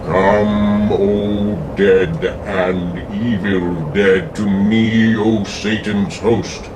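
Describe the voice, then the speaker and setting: An actor playing an ancient evil ghost in pulp radio melodrama, slow, grandiose and menacing. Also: male voice, lowish voice, fairly even intonation. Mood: commanding, ominous. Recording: distant mic with loud humming noise.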